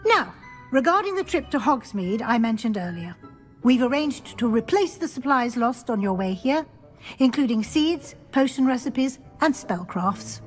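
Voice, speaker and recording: A woman playing a brisk, watchful professor at a wizard school in a video game, speaking formally and matter-of-factly. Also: on the high side, expressive, faint music behind, lightly acted. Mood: brisk, probing. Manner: formal, crisp, matter-of-fact. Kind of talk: scripted video game acting.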